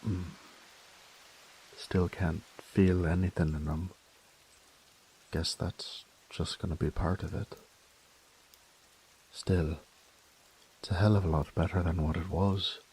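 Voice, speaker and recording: A man's voice: low-pitched, fairly even intonation, slow pace, pauses often, a little mellow, slight background noise, rough recording. A man playing a charming reformed thief and flirtatious, tender fiancé in an intimate romantic audio roleplay, murmuring and dropping into whispers. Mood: tender, hushed.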